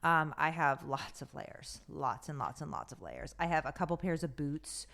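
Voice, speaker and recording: A middle-aged woman co-hosting a podcast in casual chat, telling things in an animated, conversational way. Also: sits low, normal speed, vocal fry. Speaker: podcast co-host.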